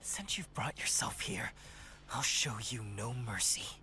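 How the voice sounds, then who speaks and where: A woman voicing a menacing, tormented, obsessive man in a visual novel, dramatically moving between breathy threats and hot-tempered outbursts. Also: very deep voice, a little slow, pushed and bright, whispered, voice pushed down, full character voice. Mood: cold menace. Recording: music behind the voice.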